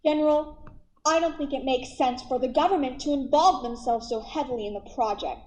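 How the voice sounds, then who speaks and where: A woman's voice: sits high, fairly quick, darkish tone, natural voice, poor audio. A woman playing a principled, stubborn lead scientist in a radio play, insistent and exasperated. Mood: indignant. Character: principled, stubborn female lead scientist, protective of her project.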